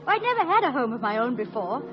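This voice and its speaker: An actress playing an affectionate, gently teasing and supportive wife of a college president in a 1950s radio comedy. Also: female voice, high voice, sing-song, fast talker, over music. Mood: playful, fond. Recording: muffled old radio recording, faint crowd and noise.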